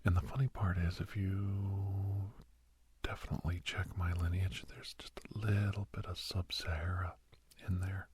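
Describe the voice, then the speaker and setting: A man with an American accent playing a kindly, gentle doctor who counsels a young werewolf in a breathy, whispered ASMR roleplay. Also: low-pitched, flat delivery, a little hiss or hum. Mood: reflective, confiding. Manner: gentle, reflective, slow and halting.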